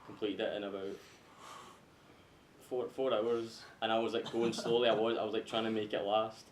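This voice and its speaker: A man's voice: flat delivery, normal speed. A man with a Scottish accent talking casually in a group podcast discussion. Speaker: podcast panelist. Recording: echoey room with faint rumbling noise.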